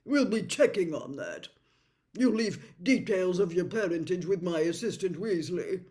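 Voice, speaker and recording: A woman voicing a pompous, impatient middle-aged male official who speaks loudly and loftily. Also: fairly low pitch, expressive, a little slow. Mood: haughty. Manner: loud, lofty, snappish.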